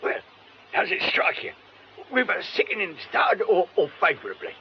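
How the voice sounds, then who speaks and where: A man's voice: high-pitched, very animated, moderate pace. An actor playing a chatty, deferential working-class watchman, hesitant and ingratiating, in a radio drama. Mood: hopeful. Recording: muffled, noisy radio drama recording.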